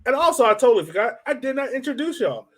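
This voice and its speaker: A man's voice: extremely high pitch, sing-song, quick pace, fairly bright tone. A man in his thirties hosting a casual podcast chat in a joking, teasing manner. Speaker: podcast host.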